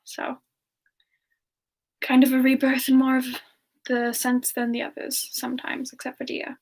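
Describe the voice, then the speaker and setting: A woman playing a playful, affectionate and coy female demon siren, speaking softly and hesitantly in a semi-ASMR question-and-answer style. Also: high-pitched, completely flat delivery, a little slow, gravelly, full character voice. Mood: musing. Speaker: host answering audience questions in character.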